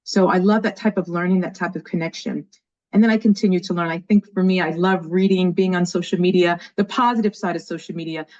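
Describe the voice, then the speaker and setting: A woman's voice: on the low side, fairly even intonation, a little fast, a little mellow. Enthusiastic woman in her fifties, New York accent. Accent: light New York. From New York, USA. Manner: enthusiastic, chatty storyteller. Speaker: guest, education leader. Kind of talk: casual interview. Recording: dull lo-fi call audio.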